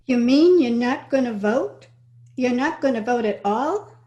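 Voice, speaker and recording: A woman's voice: on the high side, animated, unhurried, warm tone, highs cut off. A woman playing an earnest, reproachful young niece in an old-time radio comedy. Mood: shocked, reproachful.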